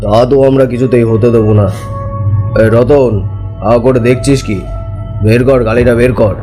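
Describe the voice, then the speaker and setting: A man's voice: medium pitch, fairly even intonation, moderate pace, warm tone, soft background music, close to an everyday voice. A man playing a greedy, villainous grown son in a cartoon drama, aggressive and commanding. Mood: threatening.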